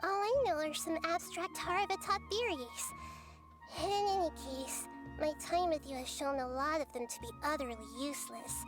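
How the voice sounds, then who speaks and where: A woman voicing a small, gentle and wise girl deity with earnest composure in a video game. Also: high-pitched, moderate pace, music in the background, lightly acted. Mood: earnest, thoughtful. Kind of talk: scripted video game dialogue.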